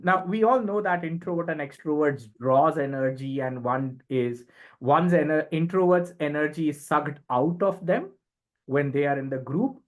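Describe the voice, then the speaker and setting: A middle-aged man with an Indian accent explains things conversationally as a podcast guest, emphatically and with frequent short tag questions. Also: fairly high pitch. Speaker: podcast guest, communication and leadership expert.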